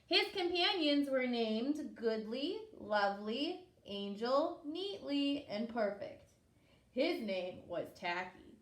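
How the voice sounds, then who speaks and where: Woman, preschool teacher, unhurried story read-aloud, expressive, fairly high voice, echoey room. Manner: expressive, explaining and asking young listeners questions.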